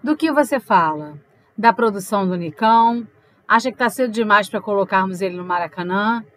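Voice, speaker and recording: A woman's voice: medium pitch, animated, a little fast, a bit bright, close to an everyday voice. A woman playing a teasing, inquisitive, unsentimental girlfriend in an audio drama, probing and playful. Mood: concerned, probing.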